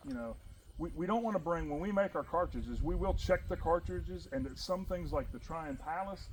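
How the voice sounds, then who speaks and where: A man with a big, loud voice, leading an informal musket training session for his group and reading out the rules. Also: fairly high pitch, slight background noise, poor audio. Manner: loud, animated, talks with his hands in stories.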